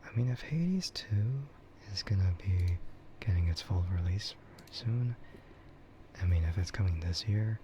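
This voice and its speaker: A man streaming ASMR in a soft, breathy whisper, chatting casually and unhurriedly in a meandering way that trails off. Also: deep voice, lively intonation, a little slow. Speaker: streamer hosting an ASMR livestream. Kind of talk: ASMR, rambling casual chat about games and anime. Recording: muffled lo-fi sound with clear noise.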